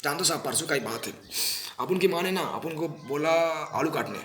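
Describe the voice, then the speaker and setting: A man's voice: fairly high pitch, expressive, brisk, echoey room, close to an everyday voice. A man performing an audition monologue as a small-time pickpocket, anxious and servile, pleading innocence to police in whiny, repetitive street slang. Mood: pleading, self-deprecating. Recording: noisy amateur recording with clicks.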